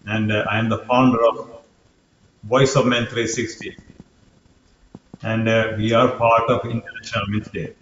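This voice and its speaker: A man in his forties from Sri Lanka speaks as a guest over a video call from a home room. His low voice stays flat, and he talks modestly and haltingly. The sound is roomy, distant and dull, with faint noise behind. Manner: modest, hesitant with fillers. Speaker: guest, men's charity founder.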